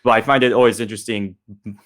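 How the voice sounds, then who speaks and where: A man's voice: fairly low pitch, steady tone, quick pace, fairly bright tone. A man hosting a discussion in a casual, conversational way, building loosely toward a question. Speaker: discussion host.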